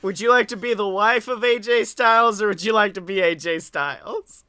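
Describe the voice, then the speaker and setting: A man's voice: high-pitched, normal speed, pushed and bright, clear, resonant tone, no echo. A young man hosting a podcast with casual, profane banter. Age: young adult. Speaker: podcast host.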